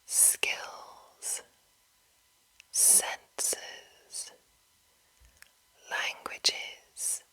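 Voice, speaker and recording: A woman host speaking in a breathy ASMR whisper, with careful, deliberate phrasing. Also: sharp tone, low-quality recording. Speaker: ASMR host reading a monster manual.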